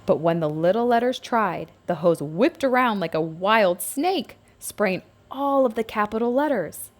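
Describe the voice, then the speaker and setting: A woman's voice: mid-range voice, animated, a little slow. A woman narrating a scripted picture book aloud for young children, as a storybook reader.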